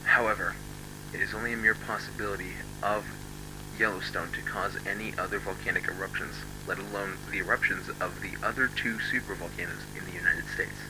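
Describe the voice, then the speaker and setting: Man playing a low, grave emergency announcer, noisy broadcast. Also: fairly even intonation, brisk. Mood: grave, ominous. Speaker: emergency alert narrator. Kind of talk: scripted analog horror emergency broadcast.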